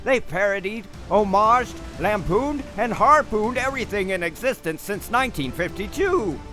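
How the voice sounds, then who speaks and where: A man's voice: sits high, animated, moderate pace, sharp tone, soft background music. A man playing a blustering, easily alarmed pirate captain with theatrical pirate talk in a comedy skit.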